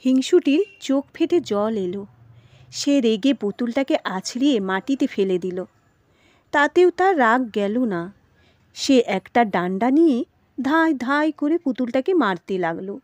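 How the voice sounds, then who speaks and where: A woman storyteller narrating a children's story in an expressive, dramatic and emphatic way. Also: on the high side, unhurried, no room sound.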